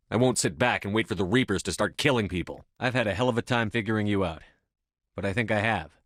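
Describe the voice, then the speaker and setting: A man acting a stern, steady space-navy commander in a video game, with a measured, dry, authoritative delivery. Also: on the low side, no echo, close to an everyday voice. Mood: grave, determined.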